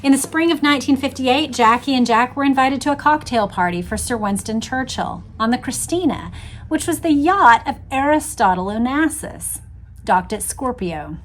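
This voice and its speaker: A woman hosting an expressive book read-aloud, with engaged casual asides addressed straight to her listeners. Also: high-pitched, moderate pace. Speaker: host reading a book aloud with commentary.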